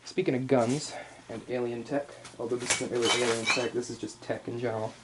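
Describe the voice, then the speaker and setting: A man's voice: medium pitch, little pitch movement, a little fast, noisy background. A man doing an improvised, casual ASMR roleplay show-and-tell, rambling with jokey asides and swearing. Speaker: ASMR roleplay host showing props.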